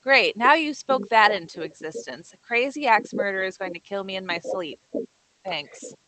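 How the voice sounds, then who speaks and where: A woman's voice: mid-range voice, lively intonation, normal speed, bright, forceful tone, no echo, low-quality recording. A woman playing a sarcastic young woman who puts on bravado, in a horror script table read. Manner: sarcastic, conversational.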